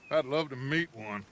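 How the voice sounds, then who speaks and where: An actor playing a theatrical middle-aged Western outlaw gang leader in a game cutscene, grandiose and ingratiating. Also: male voice, mid-range voice, bright, forceful tone, a little hiss or hum. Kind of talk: video game cutscene acting.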